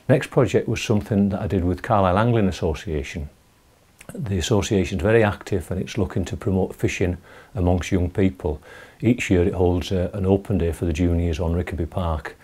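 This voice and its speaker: A middle-aged man presenting an informal video newsletter to camera, with hesitant pauses as he goes. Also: low voice, dark tone. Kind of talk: informal talk to camera about recent projects.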